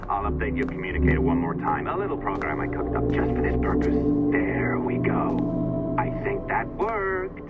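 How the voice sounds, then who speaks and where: A man's voice: deep voice, wildly swooping pitch, moderate pace. A man playing a scheming, volatile tech insider in a video game. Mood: smug, conspiratorial. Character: scheming, unhinged male tech insider, smug then frantic. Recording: muffled sound under loud music.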